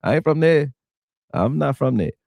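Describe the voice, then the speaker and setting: A man's voice: a little high, moderate pace, gravelly, no room sound. Philly guy ranting sports live, voice jumps all over the place, dry close mic. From Philadelphia. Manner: animated, conversational, heavy "you know what I'm saying". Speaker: sports show host. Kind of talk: casual live sports talk.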